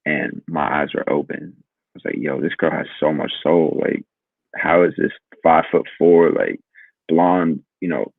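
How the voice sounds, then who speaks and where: A young man in his twenties with a deep, gravelly voice and a husky edge, chatting loosely as a guest and rambling through his stories with casual swearing. The sound is dry and thin, like a phone line. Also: fairly even intonation, measured pace, no echo. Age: 20s. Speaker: guest musician. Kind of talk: casual interview chat.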